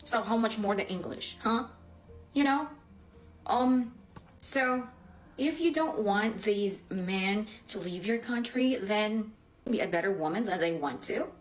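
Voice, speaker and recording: A woman's voice: mid-range voice, lively intonation, measured pace, faint music behind. Exasperated Filipino woman, Filipino accent. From Philippines. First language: a Philippine language. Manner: exasperated, rhetorical questions. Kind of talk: ranting video reply.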